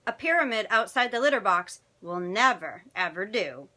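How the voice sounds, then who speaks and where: A woman voicing a fussy mother cat in a storybook, scolding in a sharp, dramatic way. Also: medium pitch, lively intonation, normal speed.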